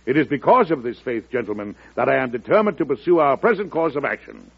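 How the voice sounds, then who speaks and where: An actor playing a calm, resolute president in an old-time radio drama, measured and statesmanlike. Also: male voice, mid-range voice, vocal fry. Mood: firm conviction. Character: calm, resolute male president addressing his cabinet secretaries. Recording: muffled vintage radio drama recording.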